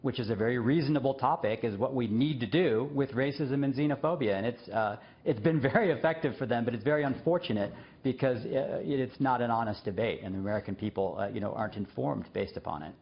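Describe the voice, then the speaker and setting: A man speaks as a guest on a news program, earnest and full of fillers and brief laughs. His voice sits at a middle pitch. The broadcast audio sounds slightly dull. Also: fast, vocal fry, few pauses. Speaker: guest, journalist and former diplomat. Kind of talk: remote interview.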